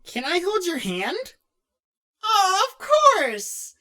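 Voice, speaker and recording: A woman's voice: extremely high pitch, huge pitch swings, extremely slow, bright, forceful tone, full character voice. A woman voicing a young, awkward, kind-hearted character who answers questions in a casual, hesitant, encouraging and rambling way. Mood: bashful, flustered.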